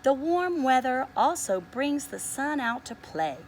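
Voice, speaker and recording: A woman reads a picture book to preschoolers in a high, lively, swooping voice. Her pace is unhurried and deliberate, with quieter asides that invite the children to look. There is faint noise behind her. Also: low-quality recording. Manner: expressive, deliberate, often pausing to ask the listener to look. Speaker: preschool teacher reading aloud. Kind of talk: picture-book read-aloud to young children.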